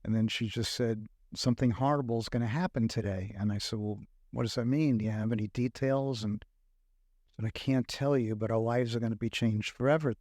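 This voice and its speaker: Older Brooklyn man, reflective guest, mid-pitched, close dry studio mic. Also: steady tone, a little mellow, dry recording. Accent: Brooklyn New York. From Brooklyn, New York. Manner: reflective, halting with many fillers. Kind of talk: casual podcast conversation. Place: recording studio.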